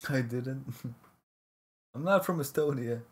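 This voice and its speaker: A man's voice: medium pitch, takes it slowly, husky. A man from Latvia casually chatting with viewers on a game livestream, joking and laughing as he protests their teasing. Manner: jokey, laughs often, mock-protesting at chat teasing. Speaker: livestream caster. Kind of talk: casual live-stream chat with viewers.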